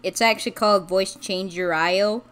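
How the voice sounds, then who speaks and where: A woman's voice: mid-range voice, steady tone, moderate pace, a bit bright, clean tone. A woman chatting casually as an amateur video maker. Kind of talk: casual chat.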